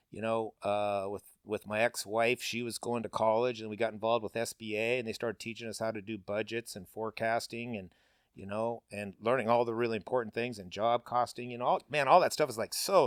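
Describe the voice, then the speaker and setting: A man's voice: on the low side, fairly bright tone, no room sound, clean studio sound. A middle-aged man, a paving business owner, talking candidly and bluntly with lots of casual fillers. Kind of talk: candid interview talk.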